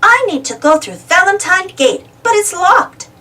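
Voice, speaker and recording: Woman voicing an eager, high-pitched little girl adventurer. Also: big pitch swings, a little slow, bright, forceful tone, recorded from a distance, exaggerated voice. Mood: eager, alert. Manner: exclamatory, asks the listener questions. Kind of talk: children's storybook read-aloud.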